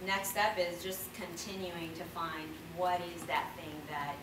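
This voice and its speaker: A woman's voice: fairly low pitch, fairly even intonation, unhurried. A middle-aged woman giving a reflective, informal answer as a panelist. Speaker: panelist, nonprofit worker. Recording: very echoey hall, distant mic, noisy.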